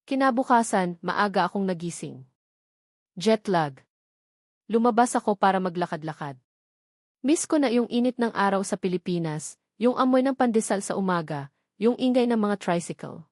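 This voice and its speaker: A woman's voice: mid-range voice, darkish tone, no room sound. Filipino woman narrating dramatically, dry close sound. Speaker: story narrator. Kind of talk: first-person dramatic scripted narration of a love story.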